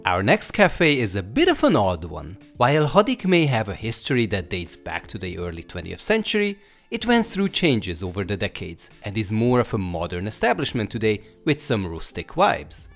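Animated low-voiced Hungarian man narrating. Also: normal speed. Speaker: travel guide narrator. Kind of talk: scripted voice-over narration. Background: music.

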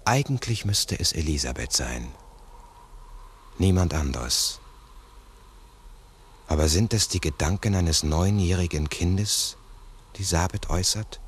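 Deep-voiced sceptical investigator. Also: male voice. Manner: deliberate, reasoning aloud. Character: sceptical, analytical male investigator, methodical and formal. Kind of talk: 1960s radio drama acting.

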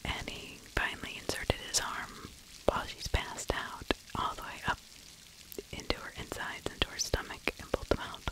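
A middle-aged woman narrating true crime as ASMR in a breathy, unvoiced whisper, close to the microphone, conversational and opinionated, with frequent pauses. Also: rough recording. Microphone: close microphone with furry windscreen.

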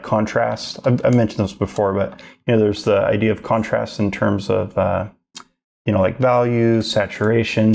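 Brief: gravelly, low-voiced man in his 30s, relaxed art teacher talking through a live demo. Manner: casual, rambling, thinks aloud while working. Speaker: art instructor. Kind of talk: live drawing demo narration. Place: home studio office.

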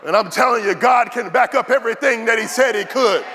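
A man in his thirties preaching an animated, fervent sermon as a pastor, repeating phrases rhythmically for emphasis. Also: very high-pitched, brisk. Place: large church auditorium stage. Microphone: handheld microphone. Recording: noisy large hall with long reverb.

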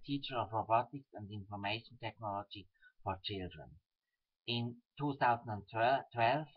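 A man's voice: medium pitch, slightly rough. A young man with a Swiss German accent earnestly reading a letter aloud into his webcam in a small room at home. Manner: earnest, careful, slightly stilted reading. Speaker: webcam video message sender. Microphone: webcam built-in mic. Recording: dull lo-fi webcam audio.

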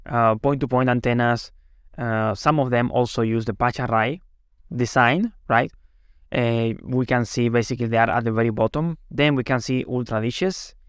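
Man, company presenter, medium pitch, explanatory webinar delivery, frequent tag questions, close dry recording. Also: clear, resonant tone, no room sound. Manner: explanatory, often tags sentences with "right?".

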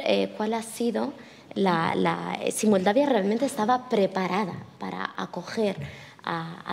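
A middle-aged woman moderating a panel, asking measured questions. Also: mid-range voice, gravelly, darkish tone. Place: conference hall, indoors. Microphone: desk microphone. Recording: reverberant conference hall.

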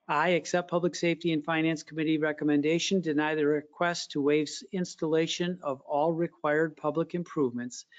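Man reading a formal agenda aloud. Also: fairly high pitch. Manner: steady, formal, measured reading. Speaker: council meeting chair. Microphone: video call. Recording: dull lo-fi video call audio.

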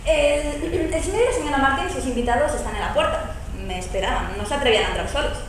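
A woman's voice: sits high, fast talker, a little hiss or hum. A teenage girl from Spain, playing a pert, scolding young housemaid in a school play. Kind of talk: amateur school stage acting. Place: large school hall stage. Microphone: far camera. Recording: echoey hall from far off.

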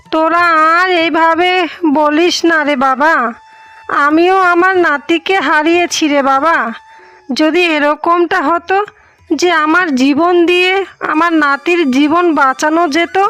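Cartoon mother-in-law, kindly and doting, voiced by a woman; high-pitched. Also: steady tone, a little slow, fairly bright tone, faint music behind. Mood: mournful. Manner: affectionate, fussing.

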